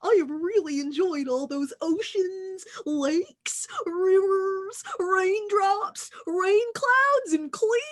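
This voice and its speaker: Woman doing a high-voiced, worn-out little crayon. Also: a bit bright, exaggerated voice. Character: stubby, worn-out blue crayon, sweetly overworked.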